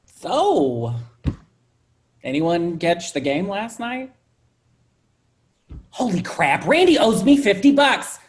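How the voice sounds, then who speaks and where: A woman's voice: medium pitch, sing-song, slow, long pauses. A woman acting a self-absorbed, grumbling office worker with a casual manner, in a staged play reading. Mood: griping.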